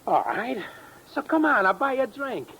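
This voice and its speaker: An actor playing a drunken, boisterous, big-spending sailor in an old-time radio drama, talking in rowdy, chummy, repetitive bursts. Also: male voice, very high voice, very animated, normal speed, sharp tone, slight background noise, exaggerated voice, poor audio. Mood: boozy, chummy. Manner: rowdy, repetitive, tipsy, backslapping talk. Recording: old radio recording, bar crowd behind.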